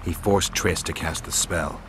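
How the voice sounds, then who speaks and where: An actor playing a gruff, stoic monster hunter in a video game, speaking in terse, restrained lines. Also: male voice, sits low, fairly even intonation, a little slow, a bit bright, a little hiss or hum. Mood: grim, frustrated. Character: gruff stoic monster-hunting male witcher.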